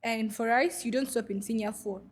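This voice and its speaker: A woman's voice: fairly high pitch, steady tone, normal speed, a little hiss or hum. A young woman from Goma in the DR Congo, a podcast guest, who tells her story in a hesitant way with many fillers. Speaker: guest, refugee vendor. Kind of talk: podcast interview.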